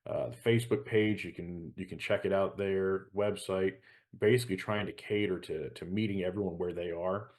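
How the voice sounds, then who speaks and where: A man's voice: sits low, fairly even intonation, normal speed, a little mellow. A middle-aged man co-hosting a show, explaining things in an earnest, conversational way. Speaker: show co-host.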